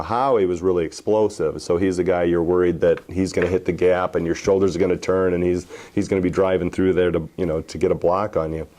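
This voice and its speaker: Deep-voiced man in his forties recalling. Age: 40s. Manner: relaxed, many fillers and restarts, animated when telling game stories. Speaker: former football player, interview guest. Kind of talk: casual storytelling interview.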